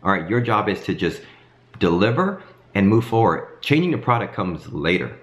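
A man in his thirties, a bit hoarse from a cold, giving casual advice to camera from his home kitchen and checking in with "okay?" as he goes. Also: fairly low pitch, normal speed. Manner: animated hand gestures, frequent "um" and "okay?". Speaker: design career vlogger.

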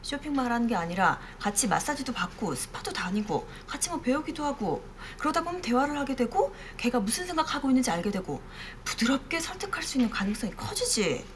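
A woman's voice: high-pitched, big pitch swings, fairly quick, few pauses, a little hiss or hum. A woman in her thirties playing a reasonable, insistent relative who persuades earnestly in a sitcom. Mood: persuading. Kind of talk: sitcom acting. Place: home kitchen.

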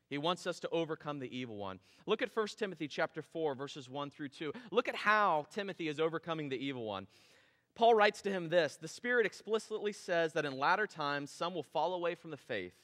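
Man in his thirties, pastor, bright, casual animated sermon, reverberant church hall. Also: fairly high pitch. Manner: casual, animated preaching with gestures. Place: church stage with drum kit.

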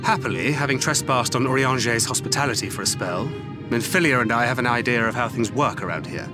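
An actor playing a stern, weary warrior and guardian in a video game, speaking in a measured, formal, terse way. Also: male voice, medium pitch, close to an everyday voice. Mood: grave, measured. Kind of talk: dramatic video game voice acting. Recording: music behind the voice.

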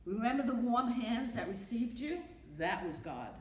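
A woman's voice: a little high, expressive, normal speed. An older woman with a Brooklyn accent reading a poem at a podium, emphatic and rhythmic, repeating her refrains. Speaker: poetry reader. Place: library meeting room. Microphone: podium mic from a far camera. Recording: echoey room with faint noise.

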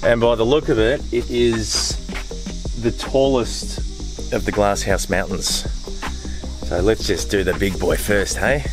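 Excited Australian man vlogging over music. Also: lowish voice, unhurried, rough recording. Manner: excited, exclamatory, slangy. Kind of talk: casual vlog chat to camera. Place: outdoors on a mountain trail and car park. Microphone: handheld camera at arm's length.

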